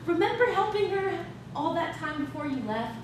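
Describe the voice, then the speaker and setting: A woman's voice: extremely high pitch, animated, moderate pace. A woman playing a taunting, sarcastic estranged wife in a staged reading of a play. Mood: needling, chatty. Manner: needling, sarcastic. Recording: echoey, noisy stage recorded from far off.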